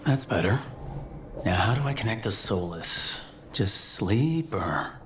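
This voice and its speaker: An actor playing an earnest young human mage hero in a video game, reflective and determined. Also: male voice, fairly low pitch, expressive, unhurried, noisy background. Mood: musing.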